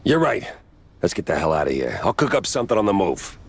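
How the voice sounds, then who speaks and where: Very deep-voiced hard-boiled detective. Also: male voice, creaky, breathy edge, exaggerated voice. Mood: urgent, gruff. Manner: deadpan, sardonic, slow drawl. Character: world-weary hard-boiled male mouse private detective, war veteran. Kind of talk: noir game narration and dialogue.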